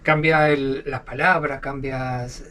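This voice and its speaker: A man with an Argentine accent telling stories as a podcast guest, talking in a halting way and repeating words. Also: medium pitch, fairly even intonation, bright, forceful tone, far from the mic, slight background noise. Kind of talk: casual storytelling interview. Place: aboard a sailboat.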